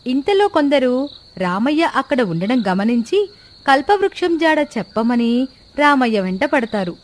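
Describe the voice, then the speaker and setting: A woman's voice: high voice, sing-song, normal speed. A woman reading scripted narration as the narrator of a story.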